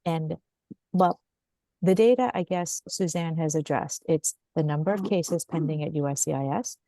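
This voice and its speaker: Woman host on a video call, lowish mellow voice, asks lots of questions. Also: normal speed, dry recording. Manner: thinks aloud, asks questions. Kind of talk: webinar discussion.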